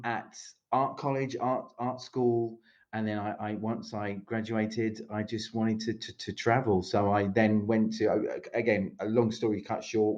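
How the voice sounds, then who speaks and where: A man's voice: medium pitch, a little mellow. A man from London with a British accent, a speaker coach giving chatty, rambling interview answers over a video call. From London, England. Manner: chatty, rambling, with frequent restarts. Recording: dull lo-fi call audio.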